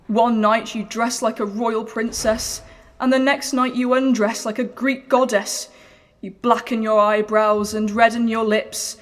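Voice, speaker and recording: Audio drama casting: a jealous young wife, earnest and plaintive, fairly high voice, hall-like reverb. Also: female voice, fairly bright tone. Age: young adult. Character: aggrieved, pleading, jealous young lawyer's wife.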